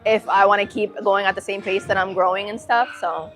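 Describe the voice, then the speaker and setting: A young American woman with a light Puerto Rican accent, chatting casually on a livestream in an expressive, slangy, opinionated way. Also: mid-range voice, brisk. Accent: Puerto Rican-tinged American. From Massachusetts, USA. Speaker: live streamer. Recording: music playing behind.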